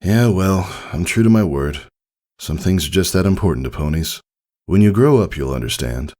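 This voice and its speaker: A man voicing a warm, doting, gently teasing pony father in a fan fiction audiobook. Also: deep voice, animated, moderate pace. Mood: wistful.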